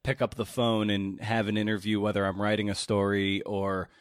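A man hosting a conversational interview podcast, speaking haltingly with repeated words and long, winding questions. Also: fairly low pitch, level tone, dry recording, studio quality.

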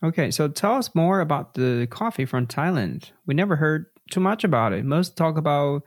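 A man's voice: a little high, expressive, moderate pace. A man hosting a podcast interview, reacting with easy enthusiasm and steering with leading questions. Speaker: podcast host. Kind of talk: casual interview.

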